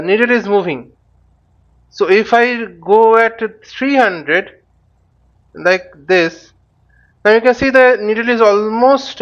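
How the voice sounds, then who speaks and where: A high-voiced man teaches in an unscripted tutorial style through a slightly dull recording. He goes slowly and haltingly, thinking aloud and checking each step as he explains it. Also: fairly bright tone. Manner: poses questions and answers them himself. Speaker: flight simulator instructor. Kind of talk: unscripted tutorial with live demonstration. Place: voice-over recorded while using a flight simulator.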